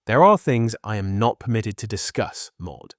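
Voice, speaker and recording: A man's voice: on the low side, big pitch swings, normal speed, dry recording, clean studio sound. An actor with a British accent playing a guarded 1930s Scotland Yard policeman in a detective drama, restrained and formal. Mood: guarded, businesslike. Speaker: character and narrator. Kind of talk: detective audio drama acting.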